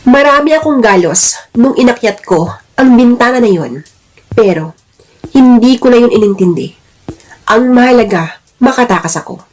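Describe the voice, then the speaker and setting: A woman narrating a dramatic first-person story in a deliberate, emotive manner. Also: fairly high pitch, lively intonation, darkish tone, poor audio. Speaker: narrator of a listener's letter drama. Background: music.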